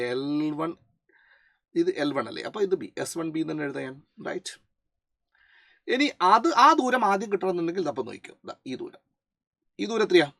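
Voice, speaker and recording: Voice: medium pitch, gravelly, dry recording, clean studio sound. A physics teacher with a Malayali accent, explaining a worked problem step by step, mixing in English terms and often asking short check questions. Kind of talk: explanatory lesson working through a problem.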